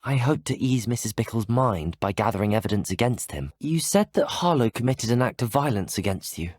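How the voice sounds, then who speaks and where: A man acting a courteous young British hero, lively intonation. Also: medium pitch, brisk, no echo, studio quality, lightly acted. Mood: polite, earnest. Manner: courteous, articulate, earnest. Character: polite, earnest, determined teenage male student wizard, a game hero. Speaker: video game player character. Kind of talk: scripted video game dialogue.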